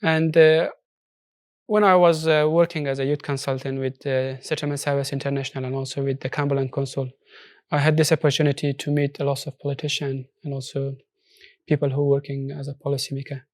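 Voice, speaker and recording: A man from Afghanistan whose first language is Persian, speaking in a studio interview with earnest, halting English full of restarts. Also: medium pitch, flat delivery, dry recording. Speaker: social enterprise founder.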